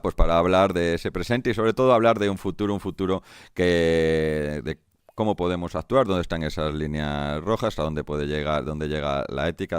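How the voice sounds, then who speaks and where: A man moderating a panel, introducing the speakers in a measured, listing way. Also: extremely low pitch, clean tone, dry recording, studio quality. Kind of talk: formal event introduction.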